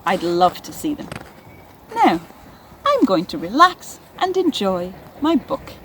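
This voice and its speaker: A woman presenting and reading poetry for children in an expressive, deliberate, encouraging way. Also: medium pitch, slight background noise, poor audio. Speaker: presenter and poem reader.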